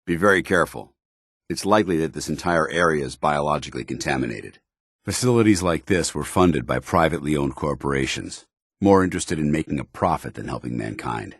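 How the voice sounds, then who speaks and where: An actor playing a stern, principled, by-the-book soldier in a video game, with formal, clipped, commanding delivery. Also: male voice, a bass voice, animated, a little fast, husky, no echo, studio quality, full character voice. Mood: grave, observant. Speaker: video game companion character.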